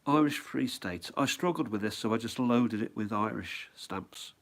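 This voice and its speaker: A man's voice: fairly low pitch, animated, normal speed. A middle-aged man with a head cold, chatting casually at home as he shows off his stamp album and muses aloud. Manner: rambling, musing aloud, asks himself questions. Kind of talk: casual show-and-tell.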